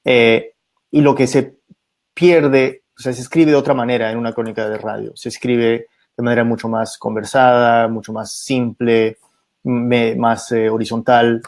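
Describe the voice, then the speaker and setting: A middle-aged man from Peru, raised abroad, speaking thoughtfully as a radio producer guest, with frequent pauses and restarts. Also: medium pitch, flat delivery, a little mellow. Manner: thoughtful, many fillers and restarts. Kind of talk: reflective conversation.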